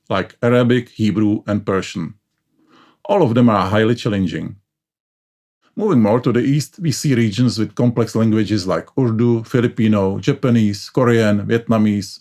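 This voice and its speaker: A man's voice: fairly low pitch, a little mellow. Man narrating. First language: Czech. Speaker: educational channel host. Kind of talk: scripted explainer narration.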